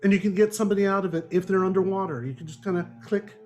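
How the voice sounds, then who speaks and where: A man's voice: high voice, fairly even intonation, rapid-fire, darkish tone, a little hiss or hum. A man hosting a book club podcast, chatting casually and joking in a wry, exasperated way. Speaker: podcast host.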